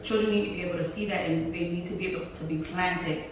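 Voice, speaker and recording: A woman from Haiti who grew up speaking Creole, guest in a relaxed interview, speaking softly and laughing easily. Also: on the low side, quick pace, slight background noise. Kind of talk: casual interview conversation. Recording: echoey room, mic far away.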